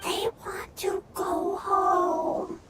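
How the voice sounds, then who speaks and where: Cute game creature: gentle fungus, squeaky high pitch, drawn-out halting speech, simple wording. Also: female voice, level tone, slight background noise, full character voice. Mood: gentle, wistful. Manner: halting, clipped, simple phrasing. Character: tiny gentle fungus creature speaking broken sentences. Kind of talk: scripted video game voice acting. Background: faint music.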